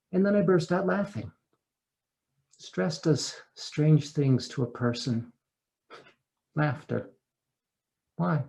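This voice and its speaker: Man giving an expressive dramatic essay reading. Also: on the high side. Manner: expressive, theatrical, with deliberate pauses and emotional swells. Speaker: actor reading an essay aloud. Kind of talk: dramatic reading of a first-person personal essay.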